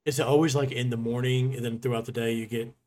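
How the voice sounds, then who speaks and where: A man's voice: mid-range voice, steady tone, fast, a little mellow. A man co-hosting a podcast in a casual, conversational style. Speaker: podcast producer and co-host.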